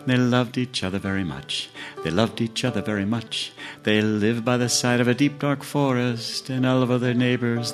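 A man telling a fairy tale as a musical storytelling piece. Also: mid-range voice. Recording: guitar music under the voice. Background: plucked guitar.

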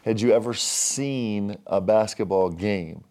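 A man's voice: fairly low pitch, mellow. A man who is a basketball coach, talking about his player in an enthusiastic, articulate way. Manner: enthusiastic, articulate, speaks fast when praising. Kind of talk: casual interview chat.

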